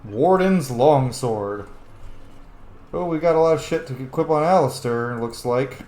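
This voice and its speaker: A man's voice: medium pitch, measured pace, a little mellow. Echoey man doing casual game commentary. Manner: informal, muttering item names to himself. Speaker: gaming video host. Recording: roomy echo, faint background noise.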